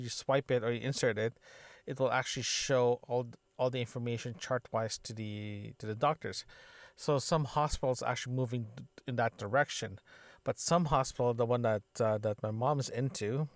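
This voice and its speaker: A man with a noticeably creaky, fry-laden voice, recorded close and very dry. He talks in a loose, casual podcast diary style, feeling his way through sentences and often doubling back to fix his wording. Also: medium pitch, no room sound. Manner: halting, circles back and self-corrects often. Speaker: podcaster, system designer. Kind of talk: casual solo audio diary, rambling chat.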